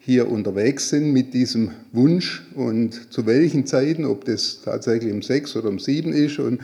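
A man putting questions as a committee member, speaking off the cuff in a deliberate, matter-of-fact way and correcting himself. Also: medium pitch, gravelly, warm tone. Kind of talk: spontaneous question in a meeting.

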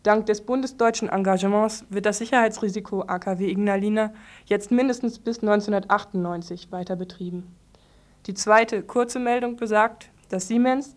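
A woman presents radio news from a script. Her voice sits at an average pitch for a woman, and she reads in sentences written for broadcast.

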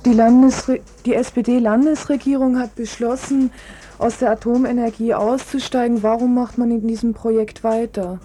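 A woman's voice: a little high, level tone, normal speed, dark tone. A woman working as a radio interviewer, delivering prepared questions and commentary. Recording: faintly noisy old radio recording.

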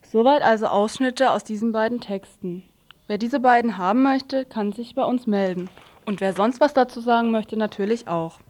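A woman's voice: on the high side, moderate pace, darkish tone. A woman hosting a political radio programme, reading scripted narration. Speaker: radio host.

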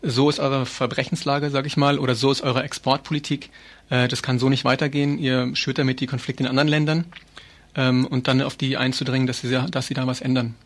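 A man's voice: mid-range voice, little pitch movement, a little fast. A man being interviewed as an activist, explaining things earnestly and informally with hesitant phrasing.

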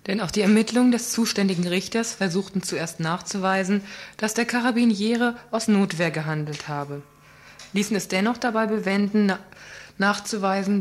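A woman reading a scripted report on a community radio news program, earnest and questioning, with small slips. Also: mid-range voice.

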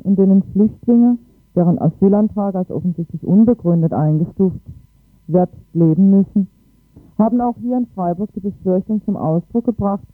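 A man's voice: sits high, no room sound, narrow, tinny audio. A man presenting a news report on the radio.

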